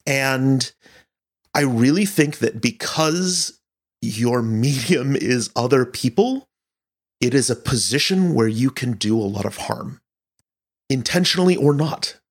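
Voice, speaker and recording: A man speaking as an interviewed director, thinking aloud in halting, broken phrases in a reflective conversational style. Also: medium pitch, expressive. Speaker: podcast director and producer being interviewed.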